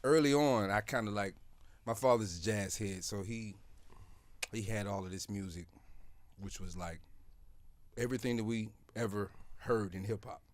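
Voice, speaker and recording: Easygoing man, lowish voice. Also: moderate pace, pauses often, dry recording. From grew up in Tuskegee, Alabama. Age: older. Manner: easygoing, hesitant, self-deprecating. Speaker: guest, music producer. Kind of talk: casual reminiscing conversation.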